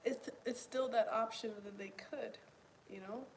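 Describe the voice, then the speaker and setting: Soft-spoken woman, reflective home video journal. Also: on the high side, fairly even intonation, a little hiss or hum, poor audio. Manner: pauses to think, drops to near whispers. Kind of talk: reflective casual talk to camera.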